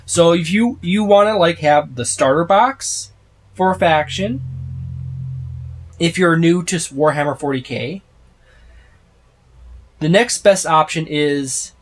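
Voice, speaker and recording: A man in his thirties hosting a tabletop gaming video from a room full of game shelves, rambling through a rulebook with many fillers and restarts. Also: a little high. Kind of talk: casual book overview. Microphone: special microphone, close.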